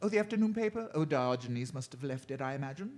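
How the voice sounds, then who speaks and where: An actor playing a vain, fussy photographer in a comic radio drama, given to arch, dramatic exclamations. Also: male voice, medium pitch, lively intonation, fast, darkish tone, lightly acted. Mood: fussy, chatty. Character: fussy, theatrical, vain male photographer, easily flustered friend.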